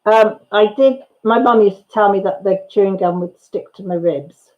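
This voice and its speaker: A woman's voice: mid-range voice, moderate pace, highs cut off. A middle-aged woman with a Welsh accent, hosting a casual chat and reading viewer comments aloud with giggles and playful repetition. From Wales. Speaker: channel host.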